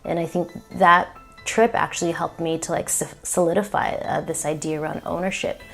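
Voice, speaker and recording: A woman's voice: low-pitched, fairly even intonation, normal speed, vocal fry, a bit bright, faint music behind. A woman community organizer telling a personal story, reflective and earnest, with frequent ums.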